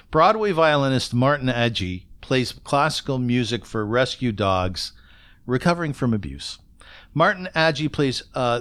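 Smooth, clear-voiced man hosting an interview. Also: medium pitch, fairly bright tone. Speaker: podcast host and interviewer. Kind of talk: conversational interview.

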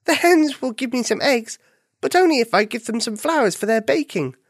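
A woman voicing a small, earnest young male bunny in a children's story, anxious and rushed, with a pleading manner. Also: on the high side, expressive, no echo, studio quality, voice pushed up. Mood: urgent, pleading.

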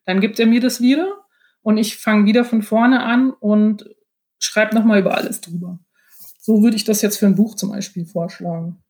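A woman's voice: medium pitch, steady tone, normal speed, darkish tone. A woman speaking as a podcast guest, explaining things from her own experience in a chatty, informal way.

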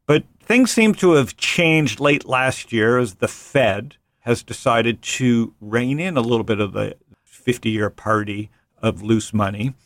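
A man hosting a relaxed finance podcast, joking and wandering off into quick asides. His voice sits in a middle range and sounds close and dry, with no room around it. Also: mid-range voice, no echo. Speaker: podcast host and venture investor. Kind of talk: casual finance podcast chat.

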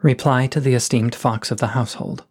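Shy coyote suitor played by a man, mid-range voice, soft-spoken and self-effacing. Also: medium pitch, fairly even intonation, brisk, close to an everyday voice. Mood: contrite. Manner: gentle, eloquent, self-effacing. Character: shy, poetic adult male coyote suitor. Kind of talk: scripted reading of letters.

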